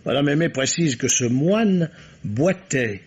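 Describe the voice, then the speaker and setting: An actor playing a shrewd, courteous police commissioner who questions suspects formally in a radio mystery. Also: male voice, fairly high pitch, expressive, slow, darkish tone, breathy edge, heavily acted. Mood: measured, probing. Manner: formal, probing, polite. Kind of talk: French radio mystery drama acting. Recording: dull, band-limited radio drama recording.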